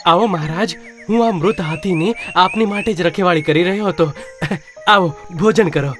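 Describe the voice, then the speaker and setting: An actor voicing a sly, scheming jackal in an animated moral story for children. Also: mid-range voice, moderate pace, faint music behind, lightly acted. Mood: fawning, friendly.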